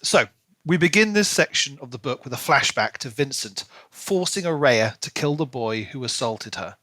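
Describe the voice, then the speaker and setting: A man with a medium-pitched, bright voice co-hosts a book podcast. He talks listeners through the story, and the sound is very dry, with hardly any room on it. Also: lively intonation, moderate pace, no room sound. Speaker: podcast co-host. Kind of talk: casual book chat and reading a written plot recap.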